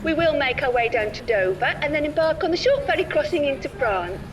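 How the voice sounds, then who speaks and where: An actress playing a cheerily officious coach tour guide with a British accent, giving sing-song announcer patter in a comic audio drama. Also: female voice, high-pitched, expressive, brisk. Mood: bright, officious.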